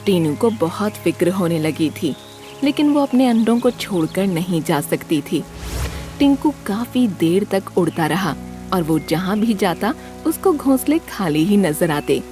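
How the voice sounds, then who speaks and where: A woman narrating a scripted children's story in an expressive storytelling manner. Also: medium pitch.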